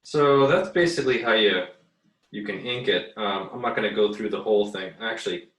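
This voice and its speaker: A man giving a casual drawing tutorial as an art teacher, thinking aloud in a halting, muttering way while he works. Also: on the low side, a bit bright. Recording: roomy echo, distant microphone.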